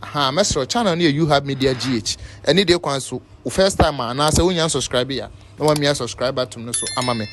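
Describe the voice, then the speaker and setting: A man's voice: medium pitch, fast talker, hardly any pauses. A man with a Ghanaian accent presenting informal news commentary, switching between a local language and English. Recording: faint background noise.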